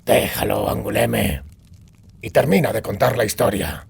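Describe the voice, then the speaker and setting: A man playing a gruff, guarded monster hunter in a fantasy audiobook, terse and dry, with a gravelly, husky voice. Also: lowish voice, animated, normal speed, heavily acted. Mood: stern, dry. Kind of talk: acted audiobook dialogue.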